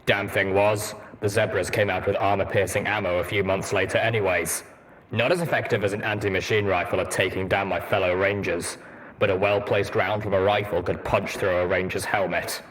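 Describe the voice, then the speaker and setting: A man voicing a grim, wistful armored pony veteran, measured and matter-of-fact, in a story reading. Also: sits low, steady tone, a bit bright, poor audio. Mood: matter-of-fact, nostalgic. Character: brooding armored stallion veteran pony soldier, nostalgic, grim.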